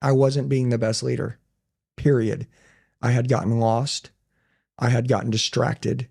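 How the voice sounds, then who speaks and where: A man's voice: mid-range voice, little pitch movement, a little slow, creaky, darkish tone, husky. A man in conversation giving blunt, emphatic motivational talk in short declarative statements. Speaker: podcast guest and business owner.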